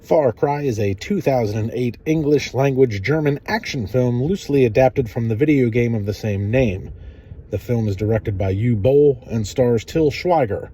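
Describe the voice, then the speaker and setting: A man's voice: mid-range voice, normal speed, warm tone, breathy edge. A man narrating film summaries, reading a scripted synopsis aloud.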